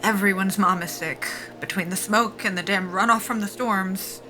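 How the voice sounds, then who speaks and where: An actress playing an anxious, self-deprecating young woman soldier in a sci-fi audio drama, halting and wry in a naturalistic style. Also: mid-range voice, steady tone, pushed and bright, faint music behind, natural voice, poor audio. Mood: halting, subdued.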